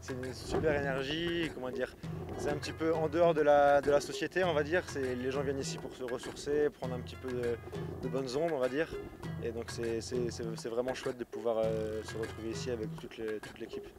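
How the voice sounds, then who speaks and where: A man's voice: mid-range voice, fairly even intonation, a little fast, music in the background. A young man from France, a kitesurf athlete, answering interview questions casually with many fillers and restarts. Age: young adult. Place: outdoors at a beach resort.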